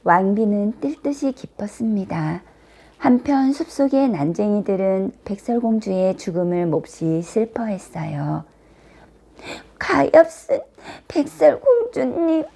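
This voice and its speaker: Woman, children's storyteller, mellow relaxed tone, medium pitch, careful expressive read-aloud narration. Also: unhurried. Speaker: storyteller, teacher. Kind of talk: scripted children's fairy-tale narration.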